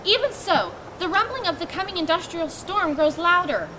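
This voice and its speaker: A woman's voice: extremely high pitch, big pitch swings, moderate pace, background noise. A woman reading the part of an idealistic male writer who lives alone in a woodland cabin, speaking in an earnest, declamatory way. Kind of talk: stage play reading.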